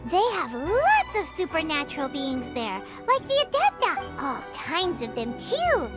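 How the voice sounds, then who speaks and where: Tiny fairy companion, very high squeaky voice, swooping intonation, excitable, anime game, music underneath. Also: female voice, measured pace, full character voice. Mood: excited, curious. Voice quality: squeaky, childlike. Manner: chirpy, refers to self in third person. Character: small excitable childlike female fairy companion who loves food. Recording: clean voice over loud game music.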